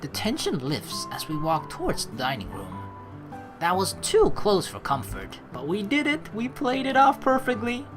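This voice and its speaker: A woman's voice: medium pitch, wildly swooping pitch, moderate pace, over music. A woman let's play streamer reading game text aloud and adding chatty commentary.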